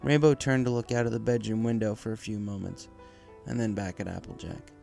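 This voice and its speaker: A man's voice: fairly low pitch, fairly even intonation, a little fast, creaky, dark tone. A man reading scripted story narration as the narrator, close to the microphone. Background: music.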